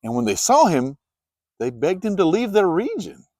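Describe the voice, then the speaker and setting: Guy narrating bible stories, really animated delivery, dry recording. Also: high voice, moderate pace, vocal fry, no room sound. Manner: expressive storytelling. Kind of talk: dramatized scripted Bible narration.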